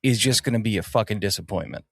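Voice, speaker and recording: A man's voice: lowish voice, fast, creaky, no echo, clean studio sound. A man co-hosting a podcast in casual conversation, informal and swearing freely. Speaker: podcast co-host.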